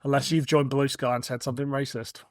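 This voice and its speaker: A man with a medium-pitched voice hosts a casual review show. He talks conversationally, as with a co-presenter. His voice is recorded dry, without any echo. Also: flat delivery, fast talker. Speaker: podcast host.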